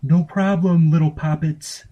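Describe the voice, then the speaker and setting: Unflappable woman cashier, done by a man, sweet and obliging, raised pitch, story reading. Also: fairly even intonation, measured pace, dark tone, low-quality recording. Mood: cheerful. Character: unflappable, sugary pet store cashier woman.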